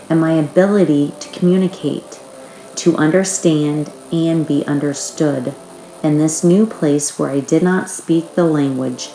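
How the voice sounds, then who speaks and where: Female narrator, low register, reading a memoir-like note, roomy sound with faint music. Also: dark tone. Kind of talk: reading a first-person author's note aloud.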